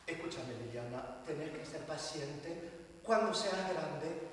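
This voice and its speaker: Exasperated, shrill mother on an echoey stage. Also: slow. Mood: impatient, scolding. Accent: Argentine. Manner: theatrical, exasperated, emphatic. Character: exasperated, sharp-tongued mother of a little girl. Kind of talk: comic stage play. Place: theatre stage before an audience. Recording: echoey theatre hall, distant, faint noise.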